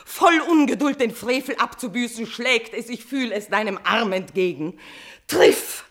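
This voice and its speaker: An actress playing a tormented, lovesick queen in a classical verse radio tragedy, declaiming with passion and slipping between breathy whispers and swelling outbursts. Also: on the high side, a little slow, natural voice. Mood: desperate, frenzied.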